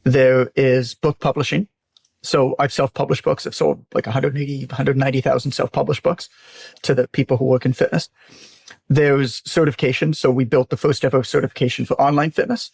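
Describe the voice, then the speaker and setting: A man's voice: medium pitch, gravelly, darkish tone, no echo, studio quality. A man speaking candidly and emphatically as an interview guest, telling stories conversationally and swearing freely. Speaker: guest, business owner and author.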